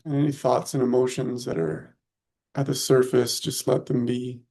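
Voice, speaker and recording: A man leading a guided meditation in short, unhurried, soothing phrases with long pauses between them. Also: medium pitch, level tone, dark tone.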